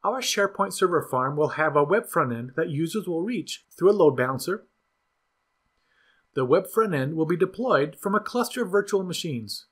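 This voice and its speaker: A man narrating a scripted software tutorial in an even, instructional way. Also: a little high, moderate pace, husky. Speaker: product demo narrator.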